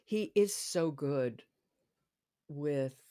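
A woman hosting a podcast in casual chat, briskly prompting her guest with questions. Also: low voice, expressive, mellow. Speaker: podcast host.

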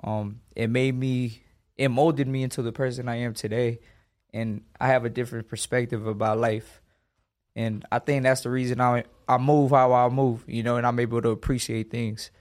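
A man in his twenties from Los Angeles talks in an easy, streetwise way as an interview guest. His voice sits at a middle pitch, and he punches his points with emphasis and slang. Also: level tone, moderate pace, a little mellow. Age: 20s. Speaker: boxer guest. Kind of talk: casual interview.

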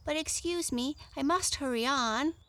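A woman's voice: high-pitched, normal speed, sharp tone, no echo, pitched above the performer's own voice. A woman voicing a friendly, innocent young rabbit in a children's storybook, chirpy, eager and talkative.

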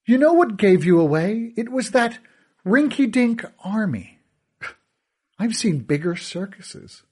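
Male reader voicing a gloating audiobook villain: swooping sing-song taunts, unhurried. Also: high voice, very animated, darkish tone, breathy edge, voice pushed up, heavily acted. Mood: mocking. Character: cruel mocking villainous man, theatrical and gloating.

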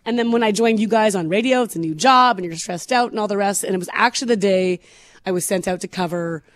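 A woman co-hosting a morning radio show in casual chat, her voice hoarse and scratchy from overuse, laughing often as she talks. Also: medium pitch, fast, no echo. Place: radio studio. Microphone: studio microphone.

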